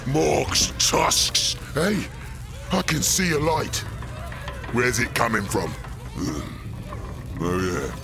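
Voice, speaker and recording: A man playing a big, dim, boastful orc boss in an audio drama, with a blustering working-class London accent and gravelly voice. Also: mid-range voice, very animated, slow, pauses often, over music, exaggerated voice. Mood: alarmed, blustering. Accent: English, working-class London. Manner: blustering, rough ungrammatical speech.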